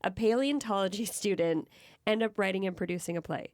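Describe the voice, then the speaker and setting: A woman's voice: mid-range voice, fairly quick, no room sound. Female news interviewer; mid-range voice, chatty and upbeat, dry studio-style sound. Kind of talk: casual broadcast interview.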